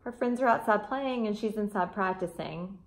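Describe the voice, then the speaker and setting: A woman in her thirties reads a picture book to young children at a middle pitch. Her storytelling is expressive and teacherly, with simple explanations added along the way. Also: normal speed, slight background noise, poor audio. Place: front room of a house, indoors.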